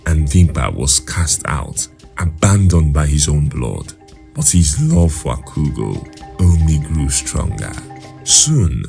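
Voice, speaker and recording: Male folktale narrator: dark, mellow tone, lively intonation, measured dramatic delivery. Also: a bass voice, creaky. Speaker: storyteller.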